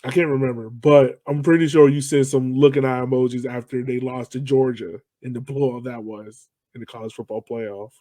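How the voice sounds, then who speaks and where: A man's voice: medium pitch, fairly even intonation, a little fast, hardly any pauses, mellow, dry recording. A man with an American accent, interviewing as a podcast host in an informal, joking, teasing way. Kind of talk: casual conversational interview.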